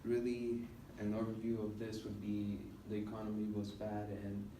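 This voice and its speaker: Halting young man, flat low-key delivery, distant mic. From United States. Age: young adult. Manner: halting, hesitant delivery with many filled pauses. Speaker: college student giving a speech class presentation. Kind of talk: informal classroom presentation speech. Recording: roomy, noisy classroom recording from a distance.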